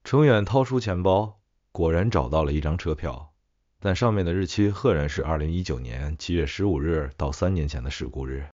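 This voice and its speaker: A man's voice: very deep voice, normal speed, a little mellow, no echo, dull audio. A man narrating a scripted ghost story as an audiobook.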